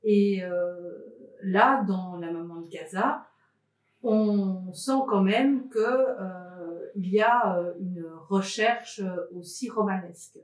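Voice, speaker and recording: A woman interviewing an author, asking unhurried questions that she pauses through with fillers. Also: mid-range voice, reverberant, rough recording.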